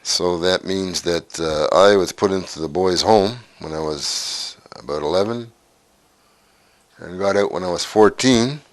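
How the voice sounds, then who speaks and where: A man in his sixties from Canada, recalling his life story in a documentary interview, unhurried and halting with frequent pauses. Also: low voice, no room sound. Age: 60s.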